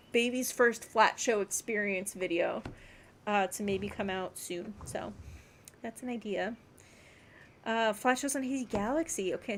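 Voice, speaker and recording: A woman game streamer chatting casually to her viewers in a rambling, animated way with emphatic repeats. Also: a little high, a little hiss or hum. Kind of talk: casual livestream chat to viewers.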